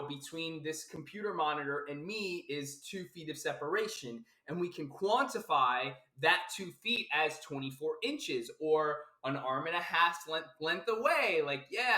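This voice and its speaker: A man's voice: a little high, bright, forceful tone. A man from South Florida, a life coach, giving a casual, emphatic philosophical monologue full of repetition, fillers and the odd swear word. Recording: roomy echo.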